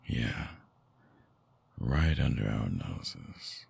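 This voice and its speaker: Man voicing a very deep, gravelly vampire ex-lover. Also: animated, full character voice. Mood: bitter, haunted. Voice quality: gravelly, husky, breathy. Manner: slow, halting, sighing, hushed confiding. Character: brooding male vampire ex-lover, tender, wounded, obsessive. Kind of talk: intimate scripted ASMR roleplay audio drama. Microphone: close binaural mic, dry sound.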